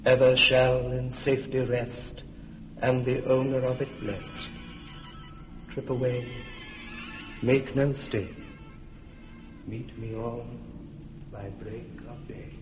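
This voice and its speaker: A solemn fairy king from an old radio play, played by a man who intones his blessing slowly in a deep, level voice. The sound is muffled and dull, with soft music underneath. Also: lightly acted. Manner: slow, even, solemn incantation. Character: stately male fairy king blessing a palace. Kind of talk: 1950s-style BBC radio verse drama.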